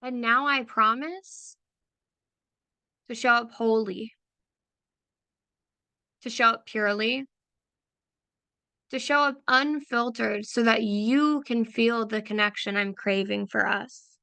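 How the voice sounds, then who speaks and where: A woman with a slightly high, bright voice leads a meditation at a very slow, deliberate pace. Her tone is soft and affirming, with a gravelly edge of vocal fry, and the recording is dry and close. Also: dry recording. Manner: soft, unhurried, affirming, repeating phrases. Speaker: meditation guide for creative business owners. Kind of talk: slow guided visualization.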